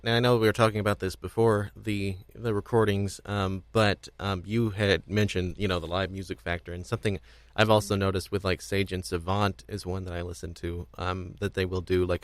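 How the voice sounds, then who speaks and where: A low-pitched man hosts a casual podcast conversation. He speaks off the cuff, circling back and repeating words as he finds his thought. The sound is close and dry. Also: no echo. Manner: hesitant, rambling, repeats words. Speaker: podcast host. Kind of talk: casual unscripted discussion.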